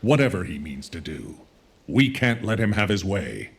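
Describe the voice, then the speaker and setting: An actor playing a firm, authoritative military commander in a game cutscene, resolute yet caring. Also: male voice, deep voice, very animated, moderate pace.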